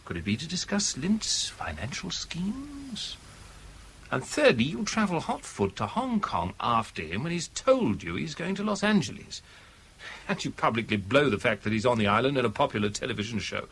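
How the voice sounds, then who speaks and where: An actor playing a shrewd, urbane British adviser in a radio drama, speaking in precise, rhetorical, cautionary phrases. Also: male voice, mid-range voice, wildly swooping pitch, normal speed, a bit bright, a little hiss or hum. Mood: dry, probing.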